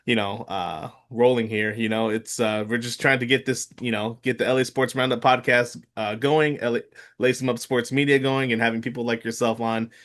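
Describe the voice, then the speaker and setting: A man's voice: medium pitch, fairly even intonation, a bit bright. A man in his mid-thirties hosting a sports podcast, talking casually with lots of filler words and restarts. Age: 30s. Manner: halting, heavy with fillers and restarts. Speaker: podcast host. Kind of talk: casual interview chat.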